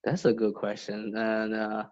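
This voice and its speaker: A young man from Beijing with a Chinese accent, chatting casually as a guest and often pausing to search for words. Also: on the low side, monotone, darkish tone, dull audio. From Beijing, China. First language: Mandarin Chinese. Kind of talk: casual chat.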